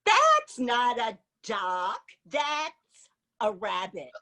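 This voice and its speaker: A woman's voice: a little high, huge pitch swings, extremely slow, sharp tone, heavily acted. A woman reading a children's picture book aloud as a playful, stubborn contrarian with a teasing, emphatic delivery. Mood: teasing, contradicting. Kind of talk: animated children's read-aloud.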